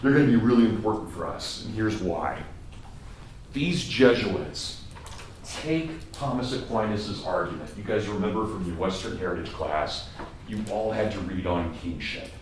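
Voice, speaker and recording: A man with a Kansas accent giving a college lecture, telling history as a lively story and repeating words for emphasis. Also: on the low side, normal speed. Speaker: college professor. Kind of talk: classroom lecture. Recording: echoey classroom from far off, faint noise.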